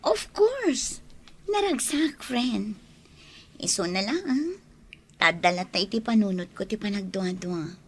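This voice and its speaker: A woman playing a soft-hearted, hesitant young village woman in love in an Ilocano radio drama. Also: mid-range voice, expressive, slow, long pauses, breathy edge, heavily acted. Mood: tender, hesitant.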